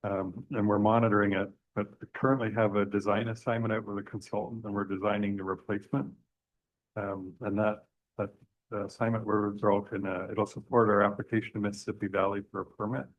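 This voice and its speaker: A man's voice: on the low side, flat delivery, a little fast. A man from township staff giving a matter-of-fact technical update to council. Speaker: public works staff. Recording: tinny lo-fi meeting audio.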